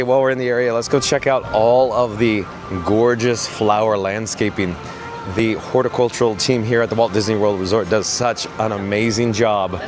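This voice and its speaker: A hoarse man live-streaming as he walks outdoors through a theme park, chatting and reading out messages from his chat. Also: fairly low pitch. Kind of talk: live-stream walking commentary.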